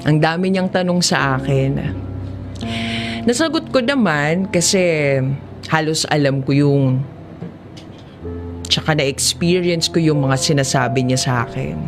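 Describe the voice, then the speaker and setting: A woman with a Filipino accent narrates a first-person life story in a measured, confessional audiobook drama style. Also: low-pitched, lively intonation, music in the background. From Philippines.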